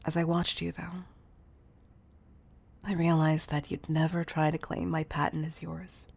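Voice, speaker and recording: A woman playing a wealthy, obsessive CEO in an intimate audio roleplay, speaking in a breathy, gravelly murmur that is affectionate and confessional. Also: low voice, flat delivery, measured pace, darkish tone, heavily acted. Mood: confiding, possessive. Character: wealthy obsessive female CEO, tender yet controlling. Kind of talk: intimate audio roleplay monologue, partly whispered.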